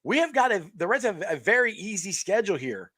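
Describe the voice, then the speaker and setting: Animated middle-aged man, lively rambling host. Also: high voice, fairly quick, dry recording, studio quality. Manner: rambling, animated storytelling with frequent restarts and laughs. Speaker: podcast host. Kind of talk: casual sports chat.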